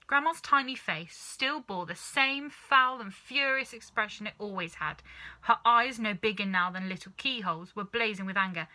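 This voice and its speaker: Very bright-voiced woman reading a story. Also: medium pitch, moderate pace, poor audio. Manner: dramatic, animated reading. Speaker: storybook reader. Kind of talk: expressive children's story narration.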